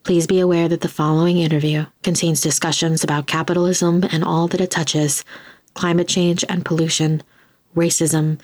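A woman's voice: deep voice, flat delivery, normal speed, a little mellow, no echo. A woman reading scripted narration as a podcast announcer.

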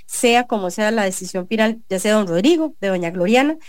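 A woman's voice: mid-range voice, animated, brisk, dry recording. A woman with a Costa Rican accent hosting a business radio show, giving an earnest editorial and interviewing a guest. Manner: earnest, many restarts and fillers. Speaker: radio show host and journalist.

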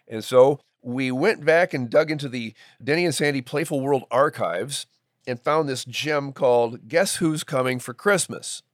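A man's voice: medium pitch, dry recording, clean studio sound. A man co-hosting a podcast in a playful, bantering way. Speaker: podcast co-host. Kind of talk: scripted introduction and casual joking chat.

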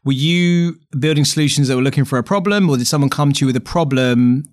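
A man's voice: medium pitch, fast. A man hosting a startup podcast, teasing his guest in a chatty way, laughing often and restarting his sentences. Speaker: podcast host, startup founder. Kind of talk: casual interview chat.

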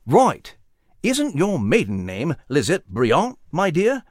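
A man plays a genteel mouse who speaks in courtly, formal phrases in a storybook. His voice rises and falls in wide sweeps and sits a little high. The sound is close and dry. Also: huge pitch swings, takes it slowly, darkish tone, no echo, heavily acted. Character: genteel old male mouse, proper and kindly.

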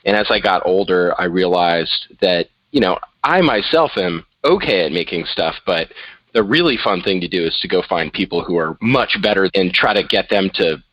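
Deep, friendly male guest in his thirties, relaxed and a little halting, dry lo-fi sound. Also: no room sound. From New England. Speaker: guest, tech executive. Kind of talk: casual interview.

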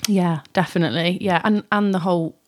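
A woman's voice: on the low side, fairly even intonation, fairly quick. A woman from North Wales, a podcast guest, chatting casually with lots of restarts and fillers. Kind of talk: casual chat.

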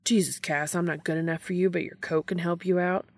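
A woman's voice: on the low side, steady tone, fairly quick, no room sound, studio quality. A woman voicing a blunt, exasperated male monster hunter with a casual, sweary way of talking in an audio story. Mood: shocked, angry.

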